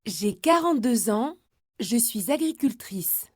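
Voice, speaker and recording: A woman speaking a short, slow, carefully clear line on a language textbook recording. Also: fairly high pitch, lively intonation, dry recording, clean studio sound, exaggerated voice.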